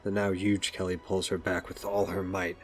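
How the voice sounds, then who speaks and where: Deep-voiced male narrator over faint music. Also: little pitch movement, normal speed, slightly rough. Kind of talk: scripted story narration.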